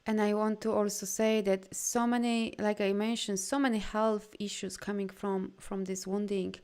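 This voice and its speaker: A woman's voice: mid-range voice, little pitch movement, normal speed, a little mellow, clear, resonant tone. A woman who is a non-native English speaker, talking informally as a coach to live viewers in an effusive, encouraging manner. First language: not English. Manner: effusive, encouraging, often reading out comments. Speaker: energy healing coach hosting a live session.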